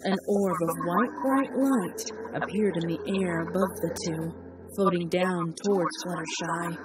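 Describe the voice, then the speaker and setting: A woman narrating a fanfiction story in a measured, dramatic way. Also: lowish voice, noisy background. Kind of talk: audiobook fanfic reading. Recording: distant voice over loud music.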